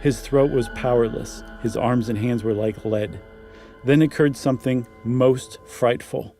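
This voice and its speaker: An American man co-hosts a podcast in a warm, mellow, mid-pitched voice. He shifts between storybook horror reading and casual talk. Faint music plays behind him. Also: steady tone, a little slow. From Ohio, United States. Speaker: podcast co-host.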